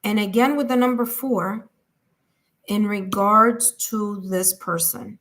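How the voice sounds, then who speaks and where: A woman's voice: medium pitch, slow, fairly bright tone. A woman with a Puerto Rican accent giving a live tarot reading in a chatty way, full of fillers and repetition. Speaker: tarot reader.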